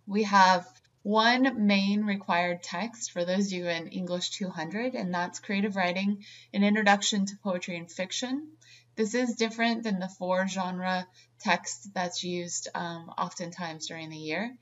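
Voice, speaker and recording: A woman's voice: mid-range voice, steady tone, unhurried, dull audio. A middle-aged woman, a creative writing instructor, delivering a recorded online course lecture in an earnest way, with many ums and restarts.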